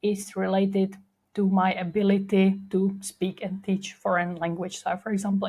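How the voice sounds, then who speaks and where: A woman's voice: fairly low pitch, darkish tone, slightly rough. A young woman with a Czech accent, hosting a podcast and telling her own story in a candid, reflective way with frequent fillers. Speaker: podcast host. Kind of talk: personal storytelling monologue.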